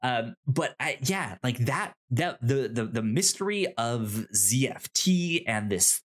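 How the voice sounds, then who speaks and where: A man speaks as a podcast co-host in loose, unscripted conversation. His pitch is mid-range but swings widely, and he often doubles back on words and syllables. He likes to voice imagined lines in an animated way. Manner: halting, repeating words and syllables; animated, acts out imagined dialogue. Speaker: podcast co-host reviewing a TV show. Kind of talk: casual unscripted discussion.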